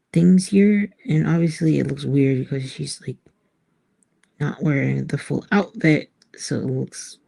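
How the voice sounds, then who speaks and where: Woman artist, casual and musing, slow delivery with heavy vocal fry, hesitant pauses. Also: sits low, warm tone. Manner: musing, hesitant, trailing off between phrases. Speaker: artist and author, host. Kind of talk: casual thinking aloud while drawing.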